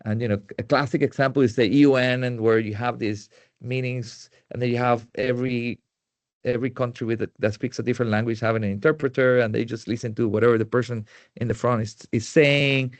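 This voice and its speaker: A man with a Puerto Rican accent, a native Spanish speaker, giving a tech presentation in a halting way with many fillers and restarts. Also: mid-range voice, steady tone, dry recording. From Puerto Rico.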